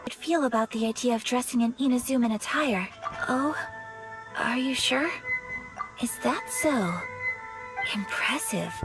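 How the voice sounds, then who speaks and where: Graceful young noblewoman as a woman plays her, formal, soft-spoken, fairly high voice, faint game music. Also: a little slow, pauses often, lightly acted. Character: poised, gentle young noblewoman of a clan. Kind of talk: video game voice acting.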